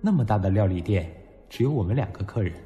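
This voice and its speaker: An actor playing a wistful young man narrating his memories in a radio drama, with a soft, low voice. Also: lively intonation, fast, lo-fi sound, natural voice. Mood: tender, reflective. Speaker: lead actor narrating as protagonist. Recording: long reverb over background music.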